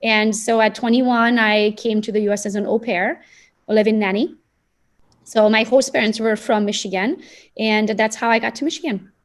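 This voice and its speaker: A woman in her thirties with a Romanian accent, telling personal stories in a casual, chatty, animated way as a podcast guest. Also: on the high side, moderate pace. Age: 30s. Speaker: podcast guest, real estate agent and coach.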